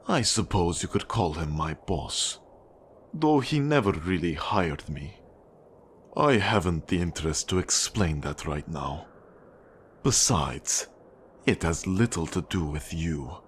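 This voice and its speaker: An actor playing a cold, menacing Russian mafia boss in an ASMR roleplay, with a Russian accent and a deliberate, condescending manner. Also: male voice, low voice, a little hiss or hum. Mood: intense, controlling. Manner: slow, deliberate, controlling, condescending. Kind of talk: ASMR roleplay monologue addressed to the listener.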